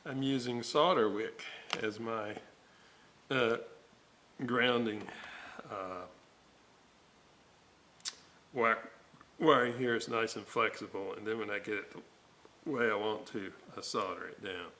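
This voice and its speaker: A man giving an informal repair walkthrough at a workbench, halting and thinking aloud as he works. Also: on the low side, bright, forceful tone. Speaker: host of a radio restoration video series. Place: indoor workbench, hands working on components. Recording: noticeable background noise.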